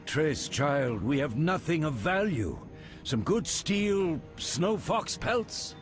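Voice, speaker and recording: A man's voice: on the high side, slow pace, music in the background. An actor playing a firm, fatherly old warrior mentor in a fantasy video game, speaking with authority. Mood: fatherly, instructing. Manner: paternal, authoritative, expressive.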